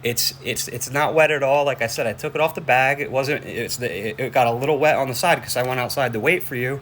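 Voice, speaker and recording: Male caller making a complaint by phone, mid-pitched, halting and repetitive, insistent, with light noise on the recording. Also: a bit bright. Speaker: caller reporting a suspicious order to police. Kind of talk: phone conversation, explaining a complaint. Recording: noisy phone call recording.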